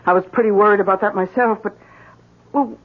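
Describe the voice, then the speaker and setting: An actress in a 1970s radio drama playing a worried, loyal farmhouse wife, heard through muffled broadcast sound. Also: female voice, mid-range voice, fairly even intonation, fairly quick, natural voice. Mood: concerned, warm.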